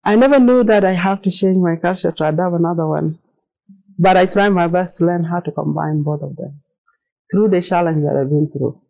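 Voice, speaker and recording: A woman's voice: lowish voice, fairly quick, vocal fry, warm tone, highs cut off. A middle-aged woman from South Sudan, a native Arabic and Dinka speaker with a South Sudanese accent, gives a personal presentation in earnest, plainly worded non-native English. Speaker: speaker telling her own story.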